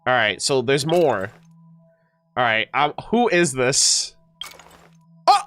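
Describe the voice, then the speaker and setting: A man's voice: medium pitch, sing-song, slow pace, fairly bright tone, faint music behind. A man streaming a game, chatting casually with viewers, slightly nasal and stuffed up, with many fillers and restarts.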